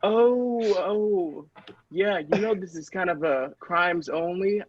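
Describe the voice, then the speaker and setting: A man's voice: high voice, takes it slowly, highs cut off. A man playing a polite, flustered policeman in improvised comedy, hesitant, apologetic and dry. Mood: politely exasperated. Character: polite, flustered policeman fielding odd requests.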